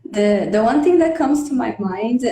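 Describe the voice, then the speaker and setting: Brazilian woman, mellow voice, roomy video call. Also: fairly high pitch, lively intonation, moderate pace. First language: Portuguese. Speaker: guest, English teacher. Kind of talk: casual interview chat. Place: home room with patterned wall. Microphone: webcam on video call. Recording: echoey room on video call.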